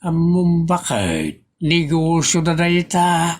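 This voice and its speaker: Man acting a lively Ilocano husband, reasoning and teasing. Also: a little high, slow pace, exaggerated voice. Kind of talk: comedy audio drama acting.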